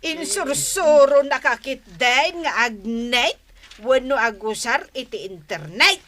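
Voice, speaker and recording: A woman's voice: high voice, animated, slow, a bit bright, heavily acted. A woman playing a strict, scolding mother in an Ilocano radio drama, exclaiming and admonishing, with an Ilocano Filipino accent. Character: scolding, disciplinarian mother and wife.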